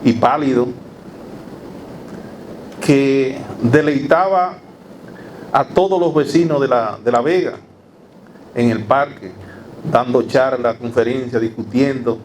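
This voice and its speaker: A man gives an academic talk in a lecture hall. He speaks in a steady, measured way at a middle pitch, pausing on fillers and asking rhetorical questions. A faint hiss of room ambience sits under his voice. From Dominican Republic. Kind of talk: university lecture.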